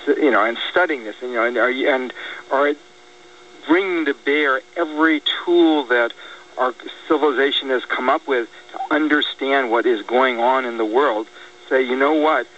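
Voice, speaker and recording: An American man speaking in a political radio discussion, halting and repeating words as he reasons things out. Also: medium pitch, a bit bright. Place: radio broadcast. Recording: dull lo-fi radio, distant, faint noise.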